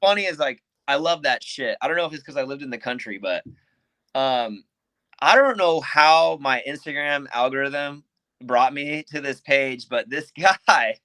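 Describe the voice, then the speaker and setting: Man, guest on a podcast, bright voice, dry close sound, casual, swears a lot. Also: mid-range voice, normal speed, dry recording, rough recording. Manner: animated, swears freely, says "dude" and "like". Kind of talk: casual storytelling.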